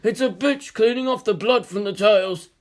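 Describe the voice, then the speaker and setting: A man's voice: high-pitched, moderate pace, voice pushed up, close to an everyday voice. A man voicing an unflappable old male shopkeeper in a story reading, patient, pitying and sardonic. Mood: dry helpfulness.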